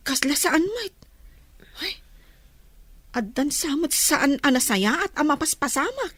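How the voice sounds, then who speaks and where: Young student played by a woman, radio drama: high, lively intonation, unhurried delivery, confiding. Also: no echo, heavily acted. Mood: puzzled, concerned. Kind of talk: Ilocano radio drama acting.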